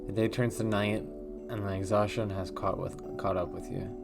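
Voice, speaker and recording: A man reading a text horror game aloud in a hushed, measured way with a wondering, uneasy tone. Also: fairly low pitch, level tone, creaky, loud music behind the voice. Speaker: let's play host.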